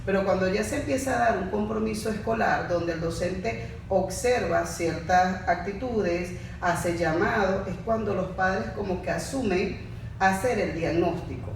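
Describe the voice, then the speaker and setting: A woman, a facilitator explaining child development in a casual panel talk, full of restarts and lively examples. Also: medium pitch, unhurried, vocal fry, slight background noise. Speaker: diploma facilitator, podcast guest. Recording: echoey room, far from mic.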